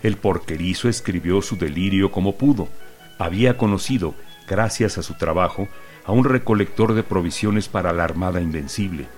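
A man narrating a short literary story in a calm, measured reading. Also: sits low, soft background music.

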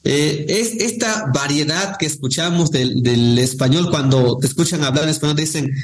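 A man, a Nahuatl speaker from Guerrero, reading poems and explaining language in an unhurried way, with many pauses and fillers. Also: a little high. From Guerrero, Mexico. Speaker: guest poet. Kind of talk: poetry reading and casual conversation.

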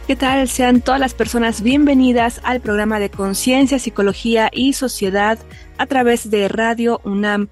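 A woman hosting a radio program in a clear, measured reading voice. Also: on the high side, fairly even intonation, a little mellow, soft background music. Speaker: radio host. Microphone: studio microphone.

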